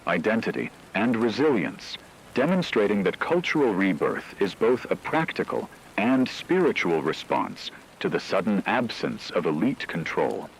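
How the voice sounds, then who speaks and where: Man playing a deep, solemn radio news anchor. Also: big pitch swings, normal speed, a little hiss or hum, low-quality recording. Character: grave male radio news anchor in a dystopian broadcast. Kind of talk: scripted radio news narration.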